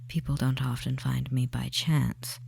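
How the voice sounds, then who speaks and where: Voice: mid-range voice, level tone, a little slow, vocal fry, darkish tone, slight background noise. A performer voicing a tender, devious male forest witch in an ASMR roleplay, whispering breathily and fussing soothingly over the listener with endearments. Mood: coaxing, concerned.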